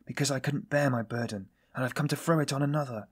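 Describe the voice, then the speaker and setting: A man playing a weary, self-loathing man in audiobook dialogue, confessing in a brooding, bitter, halting manner. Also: mid-range voice, husky, pitched above the performer's own voice, close to an everyday voice. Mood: anguished.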